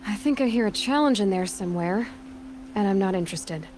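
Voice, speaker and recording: A young female hunter from a video game, played by an actress, speaks in a guarded, determined way. Her pitch sits at an ordinary middle level and she is blunt and direct, with faint ambient noise behind her. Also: expressive, a little fast, a little mellow, lightly acted. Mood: wry, cool. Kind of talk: naturalistic video game dialogue acting.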